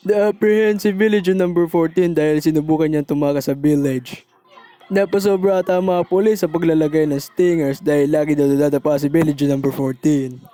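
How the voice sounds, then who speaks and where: A Filipino-accented man voicing a chatty, excitable villager reporter giving a cartoon mock news report. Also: fairly high pitch, fairly quick, close to an everyday voice.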